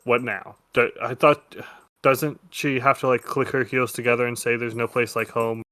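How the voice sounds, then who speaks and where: A man acts out a grumpy wizard for a tabletop game. The voice sits at an ordinary pitch and is dry, irritated and sulky. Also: level tone, moderate pace, pushed and bright, natural voice.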